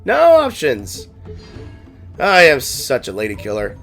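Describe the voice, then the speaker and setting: Animated man commentating on a game. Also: medium pitch, takes it slowly, vocal fry. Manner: playful, reactive, thinking aloud. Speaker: gaming streamer. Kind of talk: casual game playthrough commentary. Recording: game music behind the voice.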